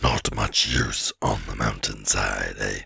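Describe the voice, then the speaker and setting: Wicked old dragon, raspy breathy growl, forceful and tense, storybook villain, gloating drawl. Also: male voice, very deep voice, fairly even intonation, dry recording, voice pushed down, exaggerated voice. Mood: mocking. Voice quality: gravelly, raspy, menacing. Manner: slow, gloating, sneering drawl. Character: ancient huge boastful male dragon, wicked and wily. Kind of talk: audiobook character voice.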